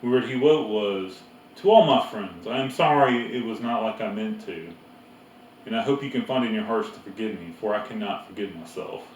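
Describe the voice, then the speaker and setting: A man's voice: lowish voice, normal speed, darkish tone, distant mic, a little hiss or hum, close to an everyday voice. A self-assured farm girl from a storybook, read by a man. She speaks confidently and matter-of-factly, as one who knows. The room echoes and the mic is some way off.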